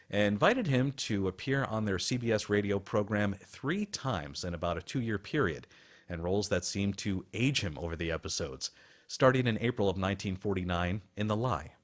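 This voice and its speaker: A man's voice: on the low side, normal speed. A man hosting a radio show with polished, scripted documentary-style narration.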